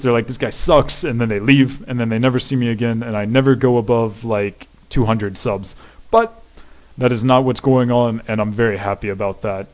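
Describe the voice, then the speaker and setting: A young man with a deep voice talks through viewer questions at home. His pitch sits in a middle range for a man. He rambles loosely, restarting sentences and leaning on fillers as he goes. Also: darkish tone. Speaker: YouTuber answering viewer questions. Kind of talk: casual Q&A chat.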